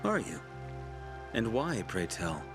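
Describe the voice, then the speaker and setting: An actor playing a shrewd, pompous leader of a survivor community in a video game, speaking in a deliberate, preacherly way. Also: male voice, on the low side, wildly swooping pitch, heavily acted. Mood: probing, wry. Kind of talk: dramatic video game acting. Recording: background music under the voice.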